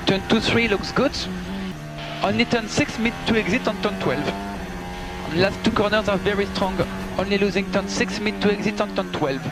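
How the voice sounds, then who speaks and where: Male race engineer, high-set voice, clipped repeated calls on team radio, loud music-like backing. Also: steady tone, normal speed, vocal fry, poor audio. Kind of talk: terse team radio instructions. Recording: motorsport radio with loud background sound.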